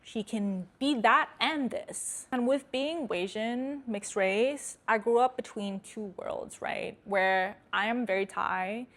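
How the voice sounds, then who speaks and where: A woman's voice: on the high side, takes it slowly, hardly any pauses, fairly bright tone. Direct young woman with American accent. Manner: direct, emphatic, candid. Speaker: host. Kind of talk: casual talking-head vlog.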